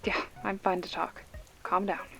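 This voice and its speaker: A woman's voice: lowish voice, little pitch movement, a little slow, gravelly, pushed and bright, faint music behind, exaggerated voice. A woman playing a sarcastic, foul-mouthed young video store clerk with a protective streak, talking casually and wryly in a one-sided phone call for an ASMR roleplay. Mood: wry, bantering. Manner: wry, snarky, casual with swearing.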